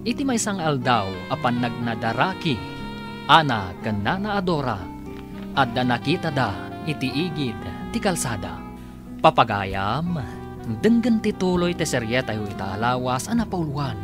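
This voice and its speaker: Radio drama narrator, male, animated announcer delivery, guitar music bed underneath. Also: medium pitch, unhurried. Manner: announcer-like, lilting.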